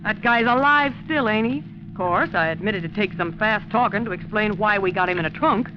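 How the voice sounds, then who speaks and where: A woman's voice: medium pitch, a little fast, natural voice. An actress playing a bossy, greedy and callous female killer in a 1940s radio drama, with slangy, scornful talk. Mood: scornful, impatient. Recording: muffled, noisy 1940s radio recording.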